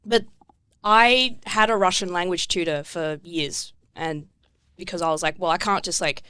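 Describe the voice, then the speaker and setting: Bright, crisp woman, swearing freely. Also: fairly low pitch, steady tone, normal speed, dry recording. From Tasmania, Australia. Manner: swears freely, laughs often, self-mocking. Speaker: musician guest. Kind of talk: casual podcast chat.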